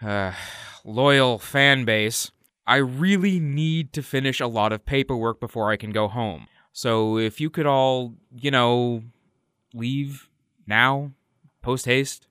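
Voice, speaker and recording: A man's voice: medium pitch, slow, a bit bright, clear, resonant tone, no room sound, clean studio sound. A man playing a weary, sardonic private-eye adventurer in a comedy audio drama. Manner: sardonic, impatient, self-assured.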